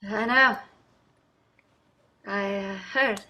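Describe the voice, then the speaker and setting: A woman's voice: medium pitch, drawn-out words, pushed and bright, full character voice. A woman acting a wealthy, restrained wife with a brittle, clipped politeness in a play reading. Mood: subdued. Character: wealthy, restrained, quietly bitter wife named Betsy. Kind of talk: staged play reading over video call.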